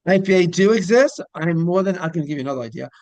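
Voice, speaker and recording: A man hosting a casual interview, rambling through halting questions that double back on themselves. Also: high voice, animated, a little mellow, no room sound. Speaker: interviewer and show host.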